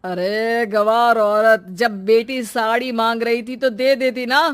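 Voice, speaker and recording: A man's voice: extremely high pitch, little pitch movement, slow pace, fairly bright tone, clear, resonant tone, full character voice. Man acting a scornful, scolding middle-aged husband. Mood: scornful, angry. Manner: scolding, contemptuous. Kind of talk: dramatised Hindi moral story.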